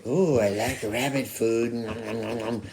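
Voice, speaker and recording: A woman's voice: extremely low pitch, steady tone, a little slow, dark tone, pitched below the performer's own voice. Woman playing a hungry, growling puppet fox. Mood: scheming, hungry. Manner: growly roaring threats and muttering to himself. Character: hungry menacing male puppet fox on the prowl. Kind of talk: children's puppet show. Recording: clearly noisy home recording.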